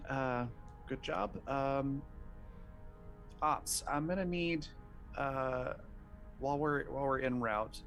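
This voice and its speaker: A man's voice: mid-range voice, fairly bright tone. A man playing a tabletop roleplaying game, moving between casual narration and in-character talk in a hesitant, thinking-aloud way.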